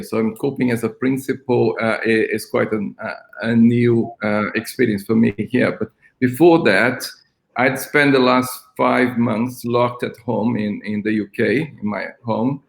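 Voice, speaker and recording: Older Brazilian-born man, gravelly voice. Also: mid-range voice, roomy sound, poor audio. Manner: hesitant, many ums and restarts. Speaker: school principal. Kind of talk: online interview. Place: flat at home.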